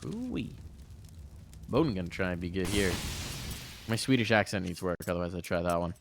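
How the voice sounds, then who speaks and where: Excitable male esports play-by-play caster. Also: fairly quick, gravelly, background noise. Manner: excitable, jokey, pitch jumps high in big plays. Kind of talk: play-by-play commentary.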